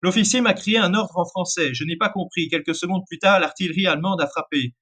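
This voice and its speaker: A man's voice: high-pitched, a little fast, sharp tone. A man reading as a haunted young soldier. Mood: somber. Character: haunted Flemish soldier in the trenches.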